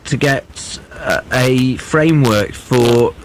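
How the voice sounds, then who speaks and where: A man's voice: medium pitch, slow, slight background noise. A man hosting a late-night radio phone-in, chatting casually and jokingly echoing his caller's words. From Merseyside. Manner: jokey, repeats the caller's words back.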